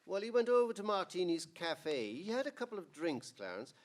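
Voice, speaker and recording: A man playing a heavenly angel who explains events in a measured way in an old-time radio drama. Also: high voice, sing-song. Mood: calm, observing. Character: heavenly senior angel narrating to an apprentice.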